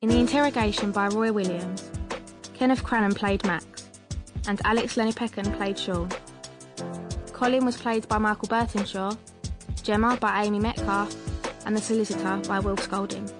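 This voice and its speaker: A woman's voice: mid-range voice, steady tone, moderate pace, long pauses. A woman announcer reading scripted radio drama credits. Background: music.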